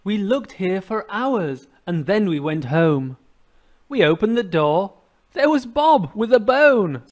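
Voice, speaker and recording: An American man narrates a children's cartoon story in a fairly high male voice. He goes slowly, with very lively intonation.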